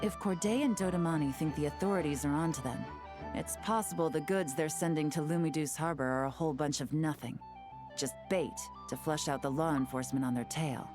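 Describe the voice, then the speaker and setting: An actress playing a cool, confident intelligence operative in a video game, briefing allies with composed assurance. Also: female voice, sits low, a little fast, music in the background, close to an everyday voice. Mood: amused, analytical.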